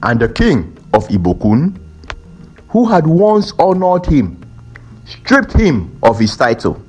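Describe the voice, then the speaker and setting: A man narrating a scripted folktale in a measured, deliberate storytelling style with dramatic pauses. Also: fairly high pitch, huge pitch swings, a little mellow, husky. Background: background music.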